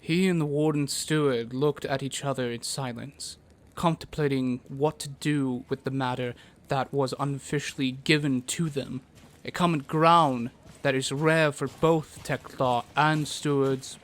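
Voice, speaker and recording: Male narrator, medium pitch, scripted fantasy storytelling. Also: fairly even intonation, a little slow.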